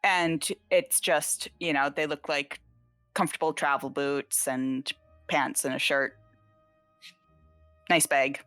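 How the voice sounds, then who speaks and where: A woman playing a tabletop game who describes her character's outfit casually, with many pauses and fillers. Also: low voice, level tone, fairly bright tone, no echo, soft background music. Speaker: player.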